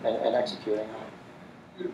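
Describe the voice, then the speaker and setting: A man speaks on a panel in a flat, mellow voice, halting and restarting his sentences. He sounds distant, with hall echo and a faint hiss behind him. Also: lowish voice. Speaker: foundation founder on a panel. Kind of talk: panel talk. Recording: echoey hall from far off.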